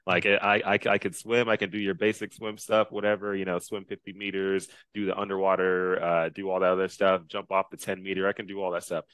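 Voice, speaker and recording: A man in his thirties from Texas, a guest telling his story in a casual video-call interview, upbeat and quick to laugh, with many fillers. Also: low-pitched, level tone, a bit bright, clear, resonant tone, no echo. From Dallas, Texas. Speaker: guest, Navy officer and race driver. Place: small indoor room. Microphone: headset.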